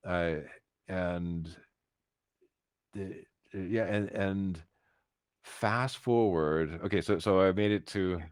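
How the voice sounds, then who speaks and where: An American man telling his story casually as an interview guest, halting and repeating words with emphatic asides. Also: deep voice, smooth, no room sound, clean studio sound. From United States, Philadelphia. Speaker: interview guest, concert pianist.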